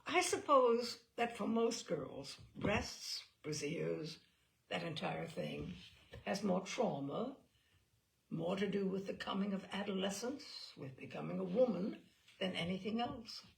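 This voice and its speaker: A woman reads a comic personal essay with theatrical flair. Her medium-pitched voice moves widely up and down and often drops into a creaky fry. Her phrasing is expressive and emphatic, shaped like a performance for listeners. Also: a little slow, poor audio. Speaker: reader of literary selections.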